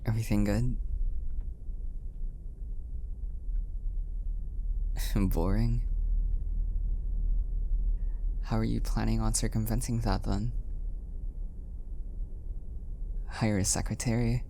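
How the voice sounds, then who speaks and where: A man playing an adorkable, oblivious, apologetic husband in an intimate roleplay audio, speaking softly and hesitantly, often half-whispering. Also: on the low side, steady tone, a little fast, a little hiss or hum, low-quality recording. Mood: awkward, gentle. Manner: soft, hesitant, self-deprecating, often breathy and half-whispered.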